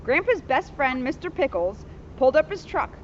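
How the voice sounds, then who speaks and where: A woman reading a picture book aloud outdoors in an expressive, chatty way, with casual asides. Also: sits high, moderate pace. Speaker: police officer reading a children's book aloud. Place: outdoors at an elementary school. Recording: muffled, noisy outdoor recording with vehicle sounds.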